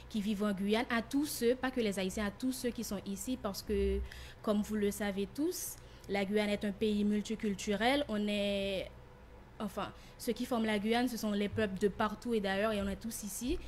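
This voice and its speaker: A woman's voice: mid-range voice, steady tone, normal speed, a little hiss or hum. A young woman from Haiti, a student guest speaking earnestly and personally in a radio interview.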